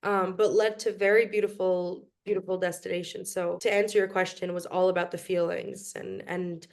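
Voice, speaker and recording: A woman's voice: lowish voice, flat delivery, normal speed. A young woman from New York, a podcast guest who speaks in a reflective, casual way with frequent ums and restarts. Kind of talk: casual interview chat.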